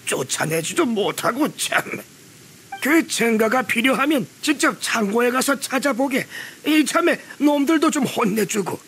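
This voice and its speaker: An elderly village carpenter from a video game, gruff yet good-humored. His voice is strikingly high and forcefully bright, with blunt, folksy phrasing. Faint noise sits behind him. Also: lively intonation, measured pace, exaggerated voice. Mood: gruff, matter-of-fact. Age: older. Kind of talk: video game character acting.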